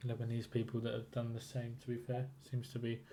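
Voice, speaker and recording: A man's voice: medium pitch, monotone, fairly quick, dark tone, a little hiss or hum. A man working as a trading mentor, asking probing questions and giving affirming feedback in a casual one-to-one coaching call.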